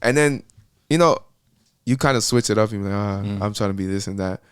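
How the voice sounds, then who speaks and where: A man's voice: on the low side, a little fast, smooth, no echo, studio quality. A young man from Miami, a podcast guest talking about his music in a loose, rambling way with lots of fillers. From Miami, Florida. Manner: rambling, many fillers and restarts. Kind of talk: casual interview chat.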